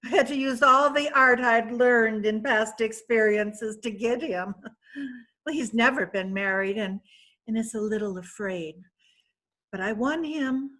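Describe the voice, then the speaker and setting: A woman's voice: fairly high pitch, a little slow, sharp tone. A woman reading Mrs. Courtney Page, chatty and proud. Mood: uneasy. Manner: chatty, self-satisfied. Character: wealthy worldly woman proud of her fiancé. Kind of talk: readers theatre play reading.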